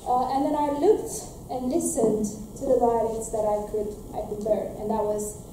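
A young woman from Romania stands at a podium answering audience questions, talking earnestly with many restarts. Her voice is dark and mellow, sitting a little high in pitch. It is caught from far off in a very echoey lecture room. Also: moderate pace, a little hiss or hum. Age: young adult. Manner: earnest, with frequent restarts and fillers, gesturing. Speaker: graduate student presenter. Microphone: room mic, heard from a distance.

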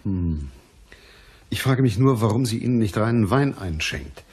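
An actor playing a matter-of-fact, weary private detective in a radio crime drama, with a dry, measured manner. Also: male voice, fairly low pitch, lively intonation, darkish tone, breathy edge. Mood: measured, businesslike.

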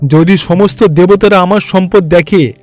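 A man's voice: sits high, measured pace, a little mellow, soft background music. A man voicing a vain, boastful god of wealth in a theatrical cartoon fairy-tale style. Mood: proud, gloating. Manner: pompous, gloating, theatrical. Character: boastful, vain rich god of wealth, fawning before superiors. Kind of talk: Bengali animated fairy-tale voice acting.

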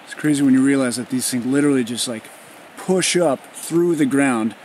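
Excited man vlogging in a rainy forest. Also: medium pitch, moderate pace, slight background noise. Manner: excitable, enthusiastic reactions. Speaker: channel host. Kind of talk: casual vlog chat and voice-over. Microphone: handheld camera close to the face.

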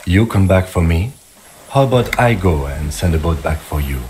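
Male police lieutenant, game role: very low voice, composed, procedural, dutiful, dry. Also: animated, normal speed, a little mellow, a little hiss or hum. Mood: calm, practical. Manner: formal, procedural, matter-of-fact.

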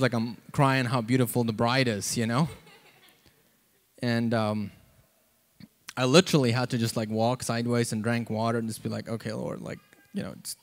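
A man gives an informal sermon and testimony into a handheld mic, halting and conversational, with lots of "like" and "you know.". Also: medium pitch, fairly even intonation. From South Africa. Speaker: preacher. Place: church auditorium, on stage before seated congregation. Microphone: handheld microphone.

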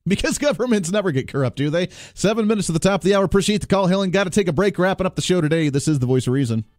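A man's voice: sits high, expressive, rapid-fire, darkish tone, dry recording, studio quality. A man hosting a political call-in radio show, talking in an emphatic, persuasive way that rallies his listeners. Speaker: radio talk show host. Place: radio studio. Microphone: close studio microphone.

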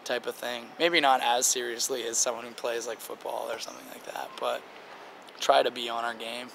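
Gravelly young man, slightly strained voice. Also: mid-range voice, fairly quick, slight background noise. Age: 20s. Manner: relaxed, chatty, many "like" fillers. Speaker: band singer. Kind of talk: casual interview answers. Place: outdoor table by a river. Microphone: handheld interviewer mic near the table.

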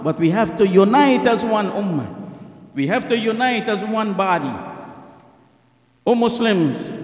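A middle-aged man giving an impassioned Friday sermon at a mosque lectern, repeating lines for emphasis and reciting Arabic supplications quickly. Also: high voice. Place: mosque prayer hall. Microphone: stand mic at a lectern. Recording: echoey hall.

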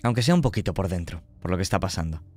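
A man's voice: sits low, animated, racing, darkish tone. A man narrating a tabletop horror game as game master, speaking conversationally and checking in with his players.

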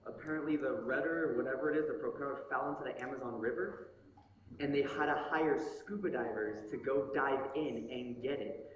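A young man preaching from a church stage on a headset mic, telling a story in an animated, joking way. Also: mid-range voice, a little fast, dull audio, a little hiss or hum. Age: young adult. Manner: animated, joking, gestures broadly while talking. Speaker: pastor. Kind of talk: storytelling sermon. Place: church sanctuary stage with pulpit. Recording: echoey hall, mic heard from far off.

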